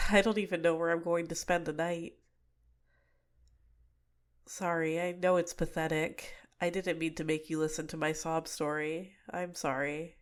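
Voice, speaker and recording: Soft-spoken young villainess, manipulative, close-mic ASMR; a woman voices her. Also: deep voice, flat delivery, unhurried. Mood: shy, apologetic. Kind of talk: ASMR roleplay.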